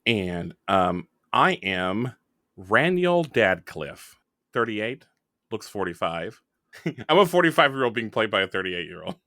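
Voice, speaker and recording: A man's voice: lowish voice, sing-song, measured pace, bright, forceful tone, dry recording, studio quality. A man casually explaining and joking his way through a tabletop roleplaying game as its facilitator.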